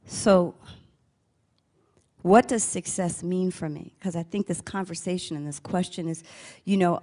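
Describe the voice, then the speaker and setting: A woman giving an inspirational conference talk, measured and rhythmic, stacking words in cadenced lists. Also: lowish voice, a little mellow. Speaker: conference speaker.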